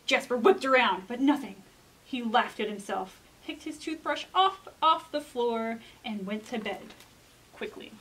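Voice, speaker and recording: An American woman in her thirties presenting and reading as a children's librarian, expressive and clearly enunciated, with dramatic pauses. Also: fairly high pitch, rough recording. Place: indoor library room.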